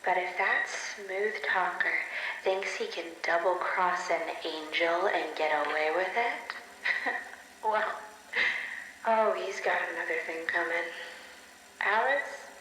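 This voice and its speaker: An actress playing a shrewd, vengeful self-styled angel, speaking with theatrical menace in a game audio log. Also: female voice, fairly low pitch, takes it slowly, bright, forceful tone. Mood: amused menace. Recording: echoey, faintly noisy old tape.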